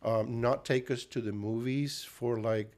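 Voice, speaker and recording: A man's voice: mid-range voice, fairly even intonation, a little mellow. A middle-aged man from Cuba with a Cuban and Puerto Rican accent, talking in a casual interview and stopping often to restart with fillers. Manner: halting, with frequent fillers and restarts. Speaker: guest.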